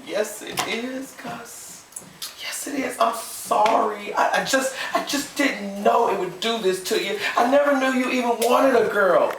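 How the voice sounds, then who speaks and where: A man voicing a desperate, guilty Southern wife and mother who begs and pleads in a breathy voice. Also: a little high, fast talker, voice pushed up, natural voice. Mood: apologetic. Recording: roomy, distant mic, faint noise.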